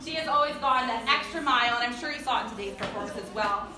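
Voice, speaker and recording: High-pitched enthusiastic woman in an echoey room. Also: fast talker, a crowd in the background. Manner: enthusiastic, gushing, colloquial. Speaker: drama club teacher presenting student awards. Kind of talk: informal award speech to parents. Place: indoor performance space before an audience. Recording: echoey room, distant noisy mic.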